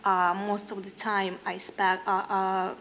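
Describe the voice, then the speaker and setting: A young woman musician from northern Kazakhstan, a native Russian speaker, talking on a panel in halting English with many fillers and reading from her diary. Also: mid-range voice, flat delivery, a bit bright, a little hiss or hum. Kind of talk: panel talk with read-aloud diary. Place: hall panel stage.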